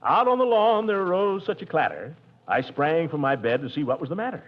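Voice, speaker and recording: A pompous, jovial middle-aged uncle blusters and chuckles in a 1940s radio comedy. His pitch swoops up and down all the time. The old broadcast sounds dull and muffled. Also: big pitch swings, brisk. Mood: declaiming.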